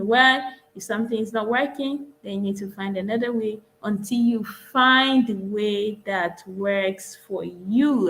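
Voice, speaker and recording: A woman's voice: on the high side, a bit bright, rough recording. Earnest woman hosting an online conference. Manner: earnest, with frequent fillers and repeated phrases. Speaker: conference host. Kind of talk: recapping sessions at an online conference.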